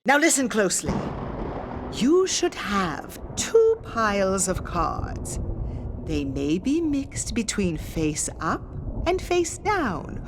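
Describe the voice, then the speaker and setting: An imperious female ghost medium from a spooky audio drama. Her delivery is slow and deliberate, with sweeping, dramatic rises and falls. She speaks formally and mysteriously, commanding and teasing, over noticeable background ambience. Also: medium pitch, sing-song, noisy background. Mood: commanding, measured. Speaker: séance guide leading a card ritual. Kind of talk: scripted theatrical audio drama narration.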